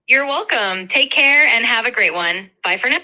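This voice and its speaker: A woman's voice: a little high, lively intonation, moderate pace, pushed and bright, muffled recording. A synthetic female voice of an AI assistant replying in friendly, polished chat. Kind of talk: friendly scripted chat replies.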